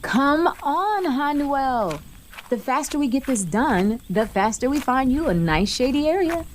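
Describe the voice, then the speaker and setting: Woman as a high-voiced sporty teen girl. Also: big pitch swings, unhurried, slight background noise. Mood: coaxing. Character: sporty, cheerful, teasing teenage girl.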